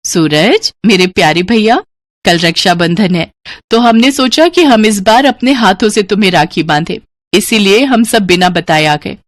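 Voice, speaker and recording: A woman's voice: mid-range voice, moderate pace, no echo, studio quality, lightly acted. A woman voicing devoted, protective village sisters in a moral cartoon, speaking in an earnest, emotional way. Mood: gentle, earnest. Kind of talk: Hindi moral cartoon dubbing.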